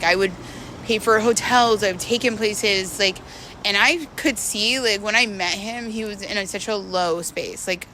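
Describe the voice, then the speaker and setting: A woman talking in a casual, confessional monologue, candid and halting, repeating words for emphasis. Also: medium pitch, bright, forceful tone, a little hiss or hum, poor audio. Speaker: vlogger.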